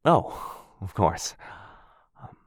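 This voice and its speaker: Guy playing a shy earnest dog boy roommate, soft halting rambling, really slow, dry close sound, boyfriend asmr. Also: no echo. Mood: flustered, defensive. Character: shy, sweet, earnest male dog-person roommate, flustered and eager. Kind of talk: boyfriend ASMR roleplay, intimate acting.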